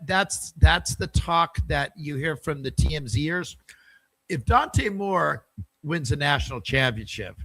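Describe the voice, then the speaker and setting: A man's voice: mid-range voice, fairly bright tone, no room sound, rough recording. An older man co-hosting a casual sports chat, hesitant and repeating words as an enthusiastic fan. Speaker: podcast co-host.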